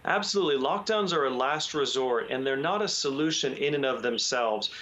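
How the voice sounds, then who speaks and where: Guy on a news interview explaining the outbreak, calm and measured, lots of ums. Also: medium pitch. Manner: measured, explanatory, frequent ums and you knows. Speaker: public health expert guest.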